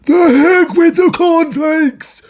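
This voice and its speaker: A narrator voicing an excitable, domineering storybook father, insistent and exclamatory. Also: mid-range voice, measured pace, breathy edge, voice pushed up. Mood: impatient, worked up. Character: excitable, domineering father with grand money-making schemes.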